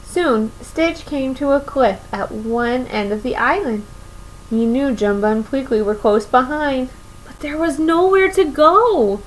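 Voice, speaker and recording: A woman's voice: high voice, measured pace, a little hiss or hum, low-quality recording. A young woman reading a picture book aloud to children expressively, often putting questions to her listeners. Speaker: children's story reader. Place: small room at home, indoors.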